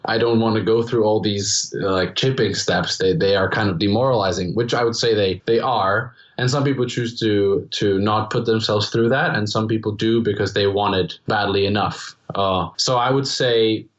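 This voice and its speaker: A man's voice: lowish voice, fairly even intonation, moderate pace, hardly any pauses, highs cut off. Young Czech man, international American accent. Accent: international-school American English. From Czech Republic. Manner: rambling, many restarts and fillers. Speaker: guest musician. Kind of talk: casual interview answers.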